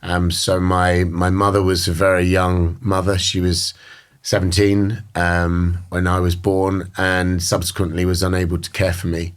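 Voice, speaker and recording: A British man with a very low, deep voice talks slowly and thoughtfully in an interview. He pauses often and circles back on his phrases as he works through each idea. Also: monotone, clean tone. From Britain. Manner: measured, thoughtful, many fillers and restarts. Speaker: guest. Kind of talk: reflective interview talk.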